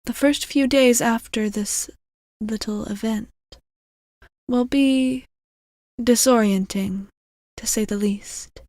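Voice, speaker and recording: Slow, soft-spoken vampire woman, acted out by a woman. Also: fairly high pitch, fairly even intonation, no room sound. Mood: caring, reassuring. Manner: slow, soft, soothing, deliberate, often dropping into whispers. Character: gentle, caring centuries-old female vampire bartender. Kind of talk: ASMR roleplay spoken to the listener. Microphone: close microphone, very dry.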